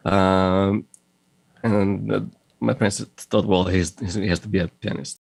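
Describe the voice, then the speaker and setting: A middle-aged man from Moldova with a mixed Russian and Israeli accent, talking haltingly in a casual interview, with many fillers and restarts. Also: low-pitched, steady tone, vocal fry, no room sound. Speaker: guest, audio company founder.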